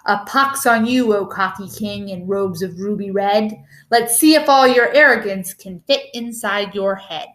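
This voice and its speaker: A woman's voice: mid-range voice, unhurried, fairly bright tone, pitched below the performer's own voice, natural voice. A woman voicing a young storybook maiden and sorceress, blunt and scolding, unimpressed by those above her. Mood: vengeful.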